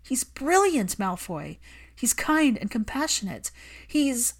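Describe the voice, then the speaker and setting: An outspoken, indignant woman performed by a female reader argues with raw emotion in a dramatic story. Her pitch rides high and moves widely as she presses each point emphatically. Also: sing-song, takes it slowly, full character voice. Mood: angry, tearful. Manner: emphatic, impassioned, argumentative.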